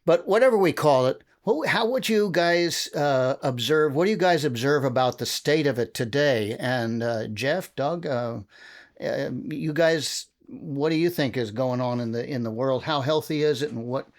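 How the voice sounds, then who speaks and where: A man with a medium-pitched voice moderates a discussion. He is informal and casual, shaping his questions out loud as he puts them to the group. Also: moderate pace. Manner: informal, feels out questions aloud. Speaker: panel moderator.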